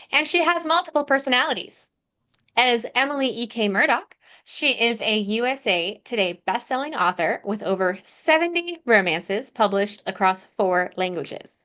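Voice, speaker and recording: A woman's voice: on the high side, fairly bright tone. A woman hosting a podcast, delivering scripted introductions and conversational interview questions. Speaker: podcast host.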